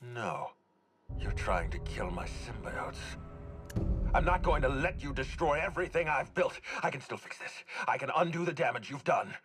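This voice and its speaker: An actor playing an outraged, possessive villain in a video game, indignant and accusing in a frantic way. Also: male voice, medium pitch, animated, normal speed, natural voice. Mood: furious, frantic. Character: outraged, possessive male villain obsessed with his creation. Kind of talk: dramatic video game acting. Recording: loud music behind the voice.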